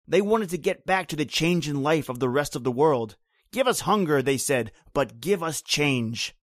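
An actor playing a bitter, grim island native who tells an old horror tale in brooding, rhythmic, folksy phrases, in old-time radio drama style. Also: male voice, a little high, lively intonation, normal speed, no room sound, studio quality, close to an everyday voice.

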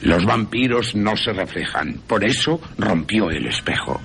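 A man voicing a learned, patient professor who hunts vampires, measured and emphatic, with a Castilian Spanish accent, in radio storytelling. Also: on the low side, sing-song, creaky, a bit bright, a little hiss or hum, voice pushed up, exaggerated voice. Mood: grave, explaining.

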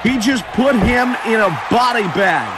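A man's voice: very high voice, measured pace. A man doing mock basketball play-by-play as a parody sports commentator, with a profane, bombastic announcer delivery. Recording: noisy, game crowd and court sounds. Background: basketball game ambience with bouncing ball.

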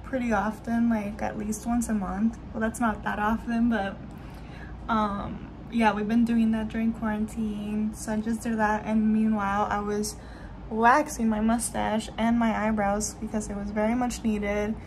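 A woman's voice: mid-range voice, little pitch movement, unhurried. A woman in her twenties chatting casually to her phone camera in a small apartment, rambling with lots of fillers. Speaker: vlogger. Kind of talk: casual vlog chat to camera. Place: small apartment room, indoors. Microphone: phone camera held at arm's length. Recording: noisy room with air conditioner hum. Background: air conditioner running.

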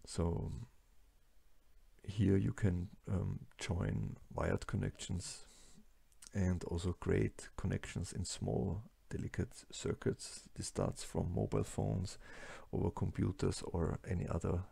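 A man with a German-speaking accent lectures over slides in a very low, deeply gravelly voice. He talks haltingly, stopping often for fillers and restarts. The sound is close and dry, with no room around it. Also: level tone, mellow, no room sound. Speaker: lecturer on plastics engineering.

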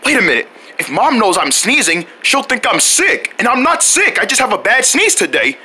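A man voicing a clumsy, naive, excitable cartoon fellow who stammers and exclaims, in an amateur cartoon style. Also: high voice, moderate pace, breathy edge, voice pushed down, exaggerated voice. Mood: nervous, secretive.

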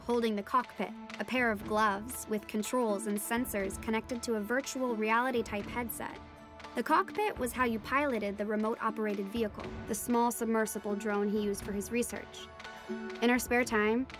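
An actress plays a scientist's daughter recounting an undersea adventure in an audio drama. Her voice is fairly high, with measured storytelling and music clearly playing behind it. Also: fairly bright tone, lightly acted. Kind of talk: scripted dramatic first-person narration.

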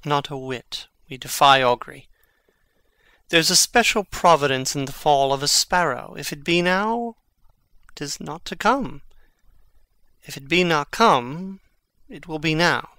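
An actor playing Hamlet, a brooding, witty young prince, in a measured, theatrical Shakespearean reading. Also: male voice, fairly high pitch, sharp tone, no echo. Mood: resigned, philosophical. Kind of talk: dramatic reading of Shakespearean verse.

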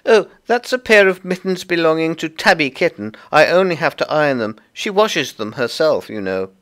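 A very high, swooping voice for a stout little hedgehog washerwoman , acted out by a man. She is fussy and flustered, always polite and eager to explain, like a deferential servant from a children's storybook. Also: big pitch swings, moderate pace, a bit bright. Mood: busy, proud. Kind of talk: storybook character voice.

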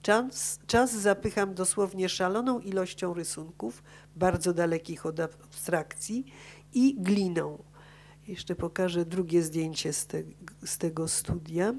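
A woman with a fairly low voice reads a scholarly paper at a conference. She follows her written text and now and then trips over a word. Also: a little slow, a little mellow. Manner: reads from a script, stumbling over words. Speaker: conference speaker. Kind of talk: academic paper read aloud. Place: conference auditorium. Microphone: gooseneck table microphone.

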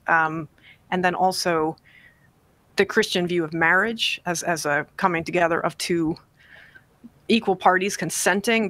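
A woman in her forties, an author guest on a talk show, speaking in a thoughtful, measured way with frequent fillers, from a home study on a desk mic. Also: lowish voice, a bit bright, no echo. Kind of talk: interview on radio show. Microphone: desk podcast mic on boom, video call.

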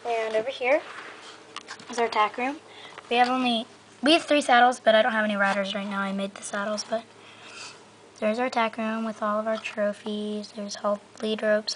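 A woman's voice: a little high, slight background noise. A young female vlogger giving a rambling, casual show-and-tell tour of her toy horse stable, filmed on a handheld camera indoors. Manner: rambling, lots of um and so yeah. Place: indoor bedroom floor. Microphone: handheld camera mic.

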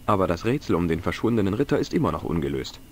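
Low-voiced, wry young adventurer. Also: male voice, very animated, rapid-fire, a little hiss or hum. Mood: earnest. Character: easygoing, curious young male adventurer. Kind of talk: video game voice acting. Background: game music.

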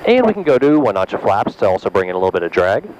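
A man in his thirties teaching in a small airplane cockpit, calmly talking through each step over a headset mic. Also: on the low side, very fast, highs cut off, noisy background. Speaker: flight instructor. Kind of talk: in-flight instruction. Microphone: aviation headset boom mic.

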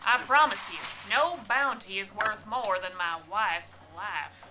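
A woman's voice: mid-range voice, lively intonation, unhurried, over music, lightly acted, poor audio. A woman playing a protective, no-nonsense Southern wife in a radio drama, firm and exasperated. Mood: stern, impatient.

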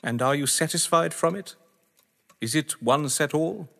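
An actor playing a proud, eloquent, solitary gentleman who relishes games, in a theatrical audio drama style. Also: a little high, measured pace, gravelly. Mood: insistent. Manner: grandiloquent, theatrical. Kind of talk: full-cast audio drama acting of a stage play. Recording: long hall reverb.

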